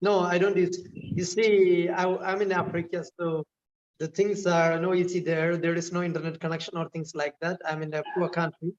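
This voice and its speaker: A man's voice: sits high, flat delivery, normal speed, highs cut off. A man, chatting casually and hesitantly with lots of fillers and restarts. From Kerala, India. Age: 20s. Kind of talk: casual chat.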